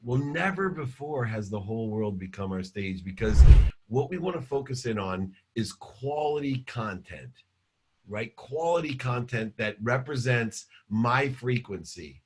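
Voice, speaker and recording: A man in his fifties, a guest entrepreneur giving an energetic motivational interview answer full of repeated phrases. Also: medium pitch, unhurried, slight background noise. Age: 50s. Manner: energetic, repetitive storytelling.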